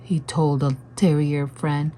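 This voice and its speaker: A woman reading a children's story aloud as scripted narration, with deliberate, expressive phrasing. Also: low voice. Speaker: storybook reader.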